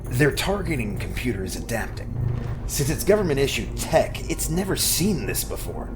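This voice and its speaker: A man's voice: mid-range voice, sing-song, normal speed, close to an everyday voice. A man playing a brash, flirty spaceship pilot in a sci-fi audio drama. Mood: urgent. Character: brash, flirty male spaceship pilot, cocky under fire. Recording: music behind the voice.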